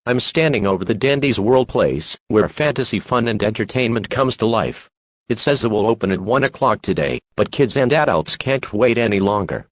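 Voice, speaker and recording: A man's voice: lowish voice, lively intonation, normal speed, dry recording. A man playing a formal news correspondent, reading a scripted broadcast report. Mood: matter-of-fact. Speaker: news correspondent and alert announcer.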